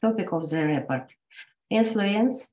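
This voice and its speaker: A woman from Russia, a native Russian speaker with a Russian accent, reading a medical conference talk in a halting way with frequent restarts. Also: medium pitch, lively intonation, mellow, echoey room. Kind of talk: reading an academic presentation.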